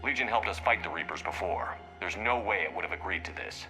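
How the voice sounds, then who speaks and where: Resolute commander, very sharp forceful voice, terse, direct, video game, long hall reverb. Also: male voice, deep voice, animated, brisk, faint music behind, full character voice. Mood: firm, questioning. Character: resolute male military commander, direct and trusting of allies.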